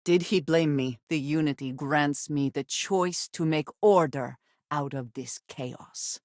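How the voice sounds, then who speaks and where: An actress voicing a guarded, solemn spacefaring companion in a video game, speaking in a measured, formal and blunt way. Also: female voice, low voice, darkish tone, dry recording, clean studio sound. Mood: grave, measured. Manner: measured, formal phrasing with few contractions. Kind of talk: scripted game character voice lines.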